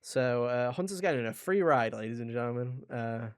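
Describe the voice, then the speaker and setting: A man with a mid-pitched voice hosts a gaming podcast. He talks informally and wanders through his thoughts as he goes. He often speaks directly to the audience in a chatty way. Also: expressive, moderate pace, clean tone. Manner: rambling, informal, addresses listeners directly. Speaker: podcast host. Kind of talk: casual video game podcast chat.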